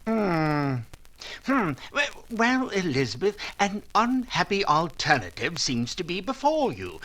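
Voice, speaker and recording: Guy acting dry tired dad in old radio comedy, wry n measured, takes his time. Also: fairly high pitch, pushed and bright, heavily acted. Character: dry, weary, teasing middle-aged English father and husband.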